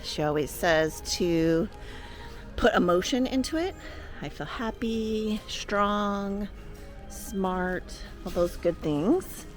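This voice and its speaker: A woman's voice: medium pitch, takes it slowly, soft background music. A woman chatting casually and enthusiastically to viewers as she co-hosts a shopping vlog. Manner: gushing, enthusiastic. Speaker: vlog co-host.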